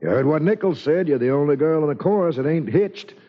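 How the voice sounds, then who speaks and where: An actor playing an upright, plain-spoken, stubbornly principled Old West shotgun guard in a 1940s radio drama. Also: male voice, a little high, animated, fairly quick, natural voice. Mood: earnest. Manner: plain-spoken, earnest, folksy. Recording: muffled old radio recording.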